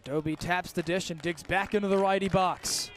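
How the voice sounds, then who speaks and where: A man's voice: sits high, fairly even intonation, moderate pace, a bit bright, slight background noise. A man doing live radio play-by-play commentary of a baseball game as the announcer.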